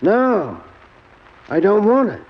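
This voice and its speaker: An actor playing a hesitant, matter-of-fact baggage clerk in halting 1940s radio style. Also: male voice, high voice, huge pitch swings, slightly rough, exaggerated voice. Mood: uneasy. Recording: muffled, hissy old radio recording.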